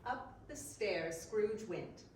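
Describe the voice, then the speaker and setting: A woman's voice: medium pitch, lively intonation, slow. Woman narrating in a very echoey room. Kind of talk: scripted story narration, readers theater. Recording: very echoey room, distant mic, faint noise.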